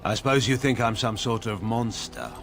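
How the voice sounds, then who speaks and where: A man playing a proud, weary, sardonic veteran warrior nobleman in a fantasy video game, speaking in a wry, grave and measured way with formal phrasing. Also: medium pitch, creaky, close to an everyday voice. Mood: wry, resigned. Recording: studio voice with game music behind. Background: music.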